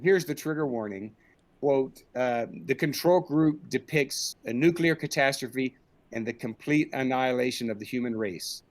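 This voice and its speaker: A middle-aged man with a mid-pitched voice answers as an interview guest. He talks conversationally over a video call from a room indoors. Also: normal speed. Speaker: interviewed director and audio drama creator.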